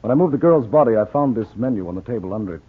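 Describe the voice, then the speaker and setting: Police doc, quick n matter-of-fact, husky, old radio. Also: male voice, fairly low pitch, animated. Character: brisk, matter-of-fact medical examiner. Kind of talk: 1940s radio acting. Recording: muffled, narrow-band 1940s radio recording.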